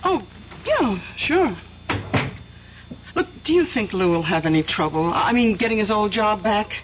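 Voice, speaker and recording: A woman's voice: medium pitch, sing-song, measured pace. A woman playing an anxious, defensive wife, hesitant and chatty, in a radio mystery drama. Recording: dull, faintly noisy old radio recording.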